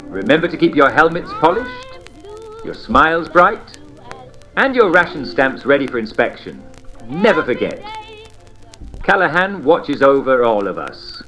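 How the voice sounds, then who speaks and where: Man putting on a theatrical, slow-spoken wartime propaganda broadcaster. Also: on the high side, very animated, pushed and bright, soft background music, exaggerated voice, low-quality recording. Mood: cheery, reassuring. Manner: theatrical, mock-genteel, deliberate pauses. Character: avuncular old-time wartime propaganda broadcaster, genteel and smugly patriotic. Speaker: radio host. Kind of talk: vintage radio propaganda narration.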